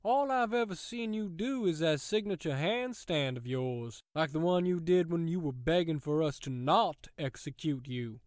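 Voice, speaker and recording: Audio drama villain, male actor: sadistic man in charge, fairly high voice, mock-folksy, taunting, theatrical and smug. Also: lively intonation, moderate pace, hardly any pauses, dry recording, clean studio sound, natural voice. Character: smug sadistic male authority toying with a captive.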